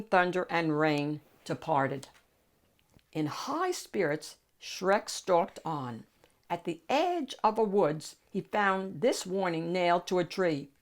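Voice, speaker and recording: A woman hosting a children's read-aloud, narrating a picture book expressively. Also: fairly low pitch.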